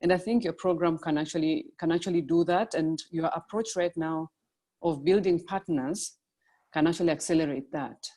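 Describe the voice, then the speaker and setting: Tanzanian woman, low voice, earnest and deliberate, video call. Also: fairly even intonation, mellow. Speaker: chamber member commenting. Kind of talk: casual online comment.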